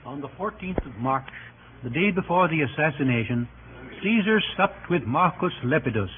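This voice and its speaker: A man narrating Roman history for an old radio drama in a formal, deliberate manner with clipped phrases. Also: on the high side. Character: sober male chronicler narrating Roman history. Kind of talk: scripted narration for 1930s-40s radio drama. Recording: noisy, narrow-band old radio recording.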